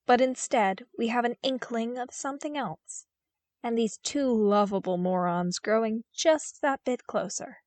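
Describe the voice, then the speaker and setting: A woman reading fiction aloud in an expressive, wry, conversational and emphatic storytelling style. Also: fairly high pitch, a little slow, no room sound. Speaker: podfic narrator.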